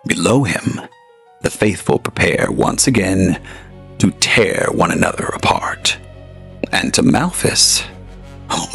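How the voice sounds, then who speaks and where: A man's voice: low voice, vocal fry, fairly bright tone. A man narrating an audio drama as its host, in a theatrical manner and speaking straight to the listener. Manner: theatrical, addresses the listener directly.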